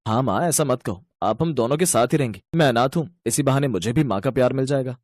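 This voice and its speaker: A man's voice: mid-range voice, fast, darkish tone, dry recording, clean studio sound, natural voice. A man voicing a kind, devoted young bus driver in a moral story, sincere, polite and encouraging. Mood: sincere, reassuring.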